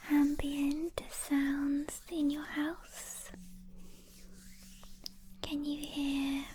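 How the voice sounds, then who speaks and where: Very slow whispering woman, ASMR meditation. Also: sits high, a little hiss or hum. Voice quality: soft, breathy whisper. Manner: gentle, soothing, with long pauses between short phrases. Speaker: ASMR guided meditation host. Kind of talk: soft-spoken ASMR guided meditation. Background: soft hand sounds and clicks.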